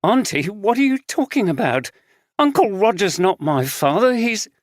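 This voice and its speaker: Scared young woman, man putting it on, high and jumpy, dry studio sound. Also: expressive, a little slow, no echo. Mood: frightened. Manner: anxious and pleading.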